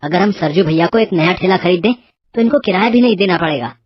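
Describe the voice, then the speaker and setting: A woman's voice: on the low side, animated, fast talker, husky, no echo, lo-fi sound. Woman acting a polite, earnest young son. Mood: grateful, friendly. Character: polite, grateful young man, devoted son.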